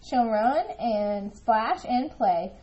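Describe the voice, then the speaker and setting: Woman voicing an excitable, eager little girl, lively pitch. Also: drawn-out words, lo-fi sound. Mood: hopeful. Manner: excitable, emphatic. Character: eager, hopeful, stubborn little girl who longs for a dog.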